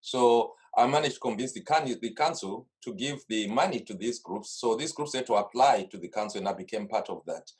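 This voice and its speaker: Middle-aged zimbabwean guy on a video call, lowish voice, rambling on with lots of restarts. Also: a little fast, hardly any pauses, husky. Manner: rambling storytelling, many restarts. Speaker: carnival organiser, guest. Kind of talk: casual interview talk. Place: home studio desk.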